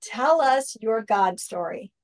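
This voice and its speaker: Higher-voiced woman hosting an interview. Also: expressive, takes it slowly. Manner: effusive, affirming way of talking. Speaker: interview host. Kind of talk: conversational faith interview.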